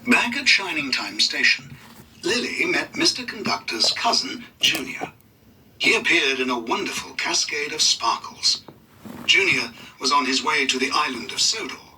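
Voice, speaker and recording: A man reading a scripted children's story as narrator, in an expressive, dramatic read-along style. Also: fairly high pitch, normal speed, far from the mic, slight background noise, poor audio.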